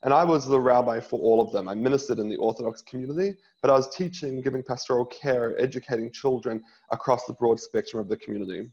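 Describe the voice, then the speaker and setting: A man in his thirties from New Zealand speaks as a rabbi in an interview. His middle-pitched voice comes through slightly dull, lo-fi audio. He talks earnestly, with frequent uhs and restarts. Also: quick pace, few pauses, a little mellow. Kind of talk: interview guest telling his story.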